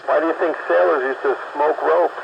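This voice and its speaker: A man in a casual group chat, explaining things in an informal, halting way. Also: fairly high pitch, fairly even intonation, gravelly. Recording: dull lo-fi radio, background noise.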